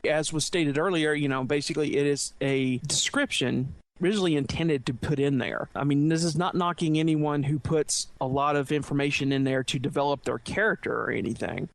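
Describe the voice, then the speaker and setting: A man's voice: a little high, fairly even intonation, fairly quick, creaky. A man on a podcast panel, talking casually as he explains his opinion.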